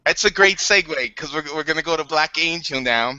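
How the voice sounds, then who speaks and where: A man hosting a casual online poetry chat, often halting and repeating words as he goes. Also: fairly high pitch, a crowd in the background. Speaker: host of poetry open mic. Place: indoors on an online group call. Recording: dull lo-fi online call audio.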